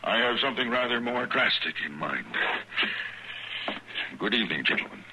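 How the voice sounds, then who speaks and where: Man playing a cold, haughty old gentleman, noisy recording. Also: medium pitch, lively intonation, normal speed, natural voice. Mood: icy, haughty. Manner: formal, clipped, haughty. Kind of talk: 1950s radio western acting.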